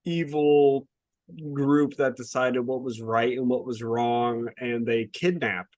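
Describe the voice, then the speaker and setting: A man with an average-pitched voice chats as a podcast co-host. He rants with exasperation and swears casually. The sound is dry, with very little room on it. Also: fairly even intonation, slow, darkish tone, dry recording. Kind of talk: casual book review chat.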